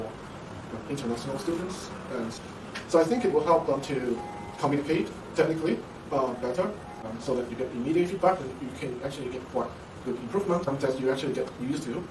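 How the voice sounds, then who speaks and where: A man's voice: mid-range voice, expressive, a little fast. A male university lecturer speaking in an interview, hesitant, with many "uh" and "like" fillers. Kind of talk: interview for a program promo. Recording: echoey room, distant mic, background noise.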